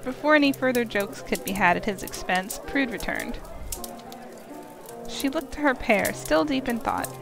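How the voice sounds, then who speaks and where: A woman's voice: on the high side, expressive, moderate pace, gravelly. A woman reading scripted fantasy story narration as the narrator of a visual novel. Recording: voice under prominent music.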